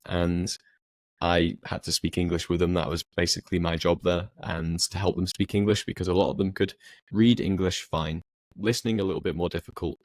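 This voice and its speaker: A man who teaches English, co-hosting a podcast and explaining things calmly and evenly. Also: deep voice, fairly quick, no echo. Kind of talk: casual chat.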